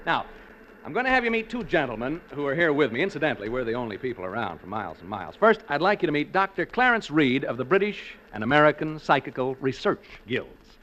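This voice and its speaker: An actor playing a chatty radio ghost hunter who speaks in breezy announcer patter, in 1940s radio acting. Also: male voice, mid-range voice, sing-song, quick pace, fairly bright tone, slight background noise. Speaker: radio host. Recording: muffled old 1940s radio recording.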